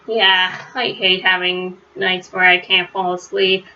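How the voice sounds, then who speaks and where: Woman reading a kids book out loud, expressive, really bright voice, bit lo-fi. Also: fairly low pitch, measured pace. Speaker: read-aloud storyteller. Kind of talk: children's storybook narration. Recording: slightly dull, lo-fi home recording.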